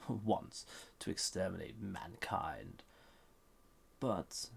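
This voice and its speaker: A man playing a banished, dangerous villain in a roleplay audio drama, flirty and condescending, murmuring smugly in a husky, gravelly voice. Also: fairly low pitch, a little mellow, a little hiss or hum, exaggerated voice. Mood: haughty, musing.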